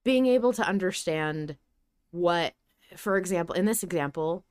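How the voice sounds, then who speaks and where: A woman's voice: fairly low pitch, animated, moderate pace, clear, resonant tone, no echo, studio quality. A woman hosting a podcast, talking through her thoughts in a casual, reflective, conversational way. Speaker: podcast host.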